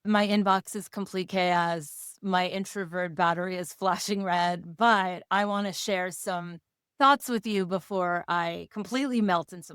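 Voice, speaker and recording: A woman co-hosting a podcast in a casual, playful way, slightly hoarse and given to jokes and lists. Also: on the low side, a bit bright, no room sound, studio quality. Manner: playful, jokey, talks in lists. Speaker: podcast co-host. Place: Rochester area.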